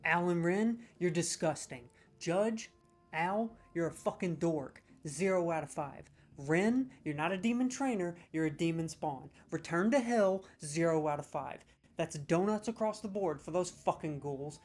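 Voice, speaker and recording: A man rants as a comedic judge, scoring video game voice lines with profanity, mock-serious verdicts and excited stammering restarts. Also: on the high side, a little slow. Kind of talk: comedic ranking rant.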